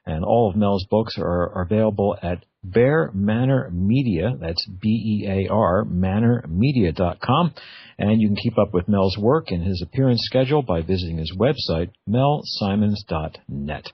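Low, husky male radio host. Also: expressive, normal speed, no room sound. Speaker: radio talk show host. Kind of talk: casual radio interview. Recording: dull, lo-fi radio audio.

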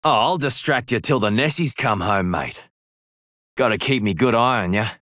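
An actor playing a cocky, flirtatious pirate adventurer in a video game, with an Australian accent and a playful, teasing drawl. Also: male voice, mid-range voice, expressive, moderate pace, a bit bright, natural voice. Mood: teasing, affectionate. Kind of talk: video game character voice lines.

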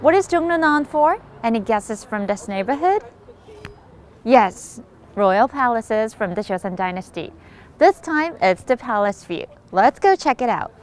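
A woman's voice: fairly high pitch, very animated, measured pace, a little hiss or hum. Bubbly young woman vlogging around cafes. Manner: bubbly and exclamatory, with gasps of delight. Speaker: travel video host. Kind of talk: upbeat on-camera travel vlog. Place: walking through cafes and streets, indoors and out. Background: background music.

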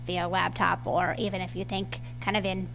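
A woman panelist speaking in a measured way, often repeating "kind of". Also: fairly low pitch, level tone, vocal fry, fairly bright tone, soft background music. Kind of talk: panel discussion. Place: lecture hall with audience.

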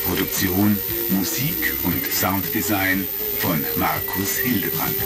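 A man's voice: low-pitched, slow, creaky. A man delivering scripted, dramatic narration for a retro audio drama. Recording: vintage record sound, loud music behind. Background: loud music.

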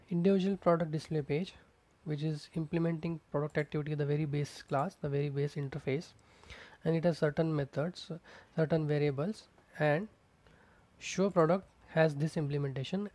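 A man's voice: fairly high pitch, steady tone, moderate pace, darkish tone, no echo. A man teaching programming over a screen recording, explaining step by step with fillers and repeated terms. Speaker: programming instructor. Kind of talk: screencast coding tutorial. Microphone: close mic, voice-over on screen recording.

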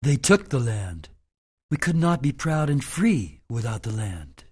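A man playing a collected sixty-year-old shaman-like sage in a radio drama, grave and measured, with a husky voice. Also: mid-range voice, big pitch swings, a little mellow. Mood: grave, wise. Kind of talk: radio drama, solemn oratory.

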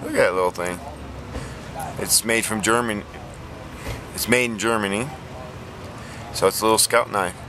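A man with a lowish voice talks plainly through a casual vlog, stopping often to restart. Recorded on a handheld camera outdoors, with faint outdoor ambience and some car noise behind him. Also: fairly even intonation. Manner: plain, halting, many ums and restarts. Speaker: flea market reseller vlogger.

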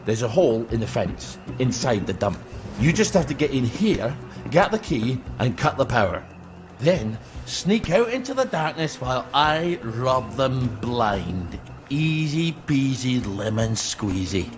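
An actor playing an eccentric, shifty older British man in a video game, gruff and colloquial as he swings between cajoling and muttering. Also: medium pitch, very animated, measured pace, music in the background. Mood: wry, scheming. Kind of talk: video game cutscene acting.

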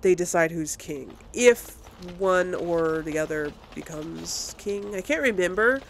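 A woman's voice: lowish voice, measured pace, gravelly, a little hiss or hum. A woman giving casual let's play commentary, rambling and thinking aloud as she goes. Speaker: gamer, channel host.

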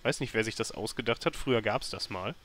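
A man's voice: on the low side, brisk, fairly bright tone. A man giving casual, thinking-aloud commentary on a game as a let's player, often grumbling and sarcastic. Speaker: let's player hosting a gameplay series.

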